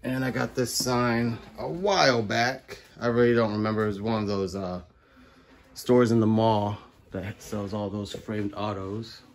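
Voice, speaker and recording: A man's voice: fairly low pitch, fairly even intonation, measured pace. A man in middle age giving a casual, slangy video walkthrough at home, recorded on a handheld phone. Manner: informal, slangy. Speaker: vlogger giving a room tour. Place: carpeted room at home. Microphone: phone held in hand.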